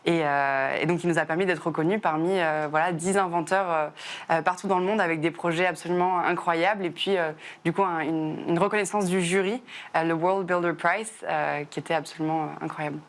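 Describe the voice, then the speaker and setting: Young woman from southern France, twenties, low-ish voice, rapid, hesitant with fillers; interview subject. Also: fairly even intonation. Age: 20s. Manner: fast, frequent "euh" fillers and restarts. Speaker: chemist and startup founder. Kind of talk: interview answers.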